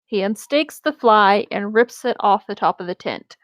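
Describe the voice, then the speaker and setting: A woman's voice: mid-range voice, lively intonation, moderate pace, no room sound. A woman narrating a story aloud in a casual, conversational style with some banter. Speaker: co-host reading an online story aloud.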